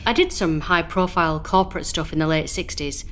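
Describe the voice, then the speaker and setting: An actress playing a composed female patient who speaks in a placid, measured way. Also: sits low, natural voice. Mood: calm. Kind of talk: horror audio drama acting.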